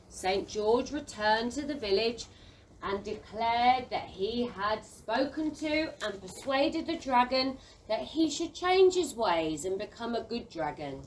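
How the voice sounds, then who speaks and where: A woman's voice: mid-range voice, far from the mic, a little hiss or hum, rough recording. A woman acting as the storybook narrator of a children's fairy tale play.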